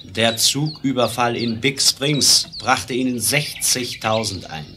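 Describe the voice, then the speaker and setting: A man's voice: mid-range voice, takes it slowly, noisy background, close to an everyday voice. An actor playing a stern, commanding western lawman in a radio play. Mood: insistent, sober. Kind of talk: German 1970s western radio play acting.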